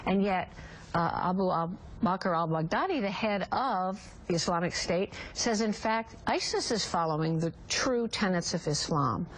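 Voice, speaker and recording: A woman anchor introducing guests and putting questions in a broadcast interview, in a measured, crisp way. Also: on the low side, expressive, poor audio. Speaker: news anchor.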